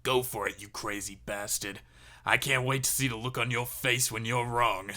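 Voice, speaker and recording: A man's voice: mid-range voice, fairly even intonation, normal speed, sharp tone. A man playing a company employee speaking to his boss in a comedy sketch. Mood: defiant.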